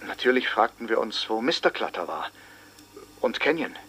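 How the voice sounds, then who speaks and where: An actor playing a sober small-town schoolteacher in a radio drama, giving grave, deliberate witness testimony with heavy pauses. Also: male voice, on the low side, big pitch swings, pushed and bright, slight background noise. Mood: sober, tense.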